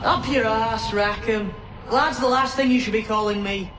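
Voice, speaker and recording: A woman's voice: fairly high pitch, normal speed, close to an everyday voice. An actress playing a bold, salty woman pirate in a video game cutscene, brash and confident. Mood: defiant. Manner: brash, confident, plain-spoken. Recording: roomy, distant, clearly noisy ambience.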